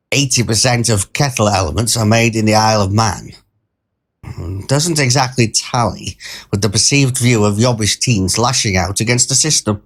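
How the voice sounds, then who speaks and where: A man's voice: fairly low pitch, natural voice. A man playing a mild-mannered, wordy train passenger who tells his anecdote as a conversational, digressive short story. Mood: pondering, pedantic. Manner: digressive, pedantic, wryly formal wording. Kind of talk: scripted first-person short story narration, conversational.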